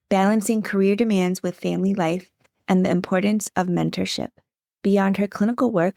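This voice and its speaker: Gravelly-voiced woman podcast host. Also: mid-range voice, a little mellow, dry recording, clean studio sound. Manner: measured, polite questioning. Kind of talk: podcast interview hosting.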